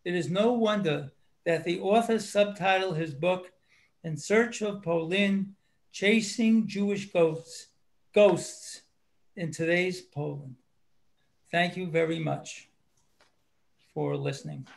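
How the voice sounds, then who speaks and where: A man reading his prepared book review aloud, in a measured, earnest way with small stumbles. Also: sits high, vocal fry.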